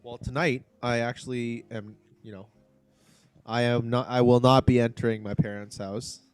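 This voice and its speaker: A man's voice: mid-range voice, flat delivery, normal speed, clear, resonant tone. A man co-hosting a casual chat, rambling through explanations with plenty of filler words. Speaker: podcast co-host.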